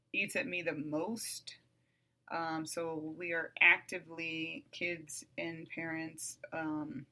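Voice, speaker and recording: A middle-aged woman hosting her own podcast, talking bluntly and with profanity in a calm, even voice that flares up loud at times. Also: sits low. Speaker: podcast host. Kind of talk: candid monologue.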